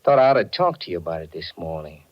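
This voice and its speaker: Low-voiced, tough-talking radio-drama thug. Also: male voice, big pitch swings, quick pace. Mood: sly, threatening. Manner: curt, tough-talking, slangy. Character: menacing male blackmailer and thug on the run. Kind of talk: 1940s radio acting. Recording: dull, band-limited 1940s radio recording.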